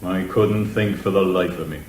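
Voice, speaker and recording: In an echoey room, a male actor plays a police constable in a courtroom comedy. His fairly low voice is plain and deferential, a little flustered as he corrects himself. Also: normal speed, a little mellow, a little hiss or hum, natural voice. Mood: flustered, earnest. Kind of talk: courtroom radio comedy drama. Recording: echoing room.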